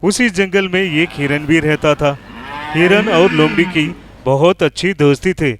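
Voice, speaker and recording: A man's voice: fairly high pitch, a little slow, crowd noise. A man narrating a scripted children's animal story.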